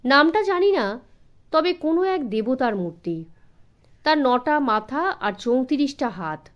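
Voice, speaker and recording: A man's voice: extremely high pitch, sing-song, unhurried, a bit bright, full character voice. A woman voicing a polite, inquisitive male detective who asks courteous, probing questions. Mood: polite, inquiring.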